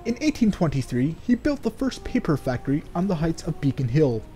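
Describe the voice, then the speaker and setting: Mellow, slightly high-voiced sardonic man, noisy recording. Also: very animated, brisk, faint music behind. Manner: sardonic, swears freely. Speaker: let's player. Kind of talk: casual game commentary mixed with reading in-game text aloud.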